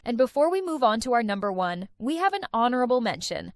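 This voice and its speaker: Lively high-voiced woman narrating. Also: fast, soft background music. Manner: enthusiastic recommending tone. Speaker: video host. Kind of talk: scripted list-video narration.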